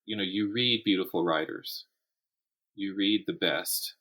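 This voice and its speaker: A man chatting casually as an interview guest, telling stories in animated, stop-start phrases. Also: deep voice, unhurried. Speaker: podcast guest, writer and writing teacher.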